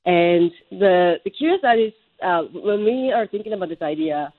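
Low-voiced woman. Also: expressive, narrow, tinny audio. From Japan. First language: Japanese. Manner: halting, searching for words. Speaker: interview guest, startup co-founder. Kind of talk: conversational interview answers.

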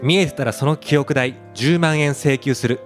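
College-age girl friend for radio drama, played by a man: scolding, playful, brisk, quiet music underneath. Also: medium pitch, lightly acted. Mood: teasing scolding. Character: teasing, scolding young female university-age friend.

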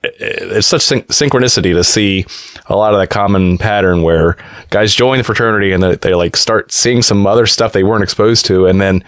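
A man hosting a podcast in casual, informal chat, with halting starts to some of his phrases. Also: lowish voice, no echo. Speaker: podcast host.

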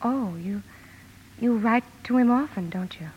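An actress playing a gentle, caring married sister who narrates and acts in a sentimental 1950s radio drama. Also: female voice, on the high side, a little slow, a little hiss or hum, close to an everyday voice. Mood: caring, concerned. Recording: dull old radio recording with music.

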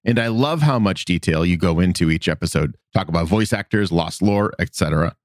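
Podcast co-host, male, lower voice, lively delivery, dry close mic. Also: brisk, no echo. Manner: animated, laughs often, reads listener reviews aloud. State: recovering from a cough. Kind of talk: casual podcast chat.

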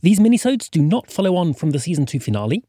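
High-pitched male podcast host, dry close sound. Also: very animated, fast, warm tone, breathy edge, no echo. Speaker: podcast host introducing the episode. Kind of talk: podcast introduction with thanks.

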